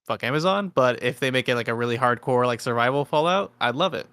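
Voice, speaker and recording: A man's voice: mid-range voice, expressive, fast talker, fairly bright tone, clear, resonant tone, no room sound. A man co-hosting a podcast, giving opinions in informal, sweary group chat. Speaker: podcast co-host. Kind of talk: casual group chat about entertainment.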